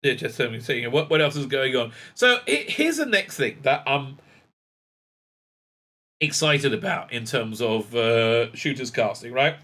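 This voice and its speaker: A man hosting a fan news show, reading headlines and breaking off into excitable asides with many fillers and laughs. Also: mid-range voice, a little slow. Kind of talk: casual commentary reading news aloud.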